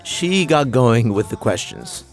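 A man's voice: mid-range voice, sing-song, a little slow, soft background music. A man co-hosting a show, narrating from a script with wry, teasing banter.

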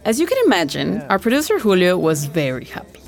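Low-voiced woman narrating over faint music. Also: very animated, fast talker, gravelly. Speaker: show co-host and narrator. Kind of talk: conversational scripted narration.

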